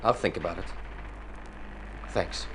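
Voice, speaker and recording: A man's voice: low-pitched, slow, a bit bright, low-quality recording. An actor in an old radio drama playing a guarded, wiry young man, laconic and cool beyond his years. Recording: faintly hissy old radio recording.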